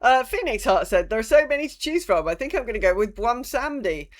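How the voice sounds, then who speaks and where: A woman's voice: fairly high pitch, sing-song, fairly quick. A woman co-hosting a podcast, chatting casually and reading out listener messages. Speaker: podcast co-host. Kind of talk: casual chat.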